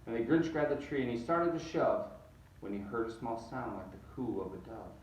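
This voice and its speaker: A man reading a picture book aloud, animated and rising on repeated words. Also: mid-range voice, normal speed. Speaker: reader. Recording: roomy echo, distant mic.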